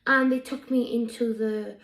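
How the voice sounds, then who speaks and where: A woman improvising as an earnest sixteen-year-old alien girl with a Welsh accent, telling her past in a halting, reflective way. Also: on the high side, fairly even intonation, fairly bright tone, echoey room. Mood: hesitant recollection. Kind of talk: improvised in-character monologue.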